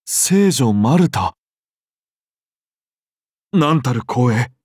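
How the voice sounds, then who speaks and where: Devout polite emperor in a game, formal but really expressive, dry studio sound. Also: male voice, medium pitch, drawn-out words, husky, dry recording, full character voice. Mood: reverent, earnest. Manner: formal, reverent. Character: solemn, devout, courteous Byzantine emperor, humble and self-doubting. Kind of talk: scripted game character dialogue.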